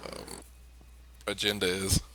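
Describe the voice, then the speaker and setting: A man's voice: sits low, monotone, slow, pushed and bright. A man playing in a tabletop role-playing game, chatting casually and teasing the others with chuckles. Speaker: player. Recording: faint background noise.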